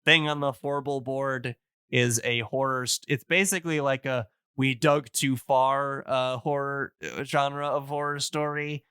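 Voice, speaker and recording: A man in casual podcast conversation, enthusiastic as he recommends things, often halting to search for words. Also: mid-range voice, fairly even intonation, sharp tone, clear, resonant tone, no room sound, studio quality.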